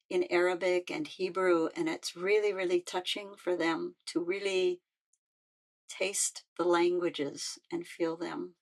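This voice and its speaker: An older woman speaks about her own life in a low, gravelly voice. She talks in a heartfelt, halting way, often circling back and restarting her sentences. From United States. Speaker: musician and peace activist. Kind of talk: personal reflective talk.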